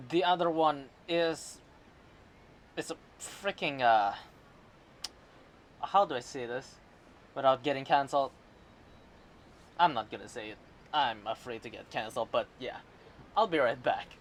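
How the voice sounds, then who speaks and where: A man streaming a game, giving casual, halting commentary in short, repetitive reactions. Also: mid-range voice, a little hiss or hum. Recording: game music behind the voice.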